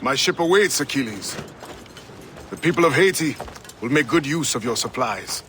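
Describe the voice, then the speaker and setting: A man's voice: medium pitch, lively intonation, moderate pace, a bit bright, slight background noise, natural voice. A man playing a dignified, earnest seafaring Assassin captain in a video game, with stately, formal delivery. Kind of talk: video game dialogue acting.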